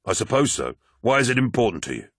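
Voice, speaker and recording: A man's voice: lowish voice, big pitch swings, quick pace, breathy edge, no echo, clean studio sound, pitched above the performer's own voice. A man voicing an earnest warrior in an audiobook, appealing persuasively to a comrade. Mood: evasive. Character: earnest male warrior, appealing to his brother.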